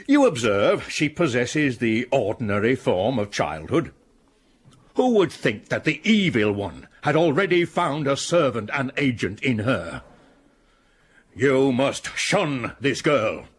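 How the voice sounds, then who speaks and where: An actor playing a stern, sanctimonious middle-aged school patron in a radio drama, preaching at the pupils. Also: male voice, mid-range voice, very animated, slow pace, slight background noise. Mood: condemning.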